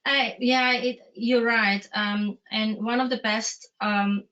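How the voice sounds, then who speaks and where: A woman's voice: on the high side, fairly even intonation, slow pace, a bit bright. A woman whose first language is not English, chatting casually and self-deprecatingly as an interview host. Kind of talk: casual online conversation. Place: remote video call. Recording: dull lo-fi video-call audio.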